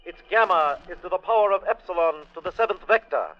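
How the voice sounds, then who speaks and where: An alien commander from a distant world in an old radio play. His voice is fairly high, formal and clipped, with measured authority. It comes through a radio filter on a narrow, band-limited old recording. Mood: measured, authoritative. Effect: radio transmission filter. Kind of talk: 1940s-50s radio drama acting.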